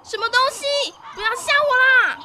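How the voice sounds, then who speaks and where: A woman's voice: very high voice, fairly quick, heavy background noise. A woman acting a timid, whiny, easily frightened schoolgirl in a student radio drama, with a Taiwanese accent. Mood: nervous, pleading. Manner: whiny, pleading.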